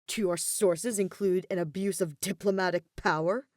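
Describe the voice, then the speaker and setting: A woman voicing a dramatic, indignant young man in a story, bickering in a loud, incredulous, spluttering way. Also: fairly low pitch, fairly even intonation, moderate pace, dry recording, clean studio sound, lightly acted. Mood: sarcastic, exasperated.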